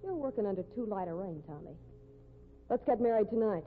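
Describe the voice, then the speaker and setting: An actress playing a tough, jealous, scolding fiancée of a cowboy in a 1950s radio drama, with American accent and blunt folksy slang. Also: female voice, mid-range voice, lively intonation, brisk, narrow, tinny audio, faint music behind, close to an everyday voice. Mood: accusing. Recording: thin, noisy vintage radio recording.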